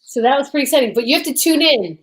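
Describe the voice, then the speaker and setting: A woman's voice: high-pitched, animated, racing. A woman co-hosting a comedy livestream, bantering casually and playfully, often repeating phrases for comic effect. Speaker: co-host of a comedy radio show livestream.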